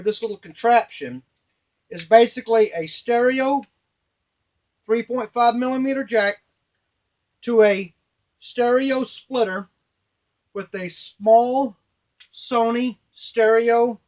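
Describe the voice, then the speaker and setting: A middle-aged man giving an informal, halting hands-on tutorial at a workbench, explaining step by step. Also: sits high. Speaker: device maker and demonstrator. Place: small indoor workshop at a workbench. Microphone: camera a few feet away.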